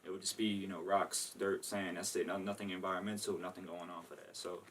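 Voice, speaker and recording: Young man guest from Maryland, quick loose rambling talk, somewhat low voice, sitting back from the mic. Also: flat delivery, a bit bright, far from the mic, a little hiss or hum. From Maryland, USA. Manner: loose, rambling, lots of "you know" and "like". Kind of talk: casual interview answers.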